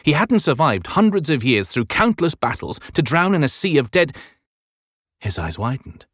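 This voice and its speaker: A man's voice: a little high, very animated, normal speed, a bit bright, dry recording. Dry, close male audiobook narrator. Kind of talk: dramatic scripted narration. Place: dry recording studio.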